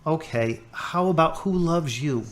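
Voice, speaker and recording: A man playing a calm hospital chaplain. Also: on the high side, unhurried, natural voice. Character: patient male hospital chaplain, gently probing.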